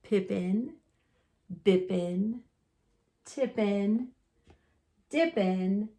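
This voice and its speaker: A woman reading a children's storybook aloud expressively and deliberately, with dramatic pauses. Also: medium pitch, mellow. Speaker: storybook reader.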